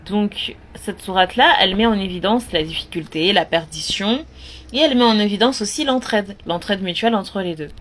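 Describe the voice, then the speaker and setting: A woman talking earnestly and directly to her listeners, repeating words and pausing on fillers as she gives spiritual advice. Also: mid-range voice. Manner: earnest, repetitive, lots of fillers. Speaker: spiritual advice content creator. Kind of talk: casual talk.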